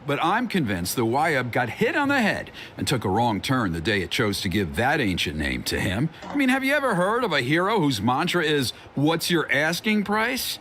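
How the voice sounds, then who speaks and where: Animated, exasperated village organiser. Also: male voice, a little high, moderate pace, hardly any pauses, a little hiss or hum, heavily acted. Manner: wry, chatty, expressive grumbling. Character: exasperated, gossipy tribesman organising a ceremony. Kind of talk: video game character acting.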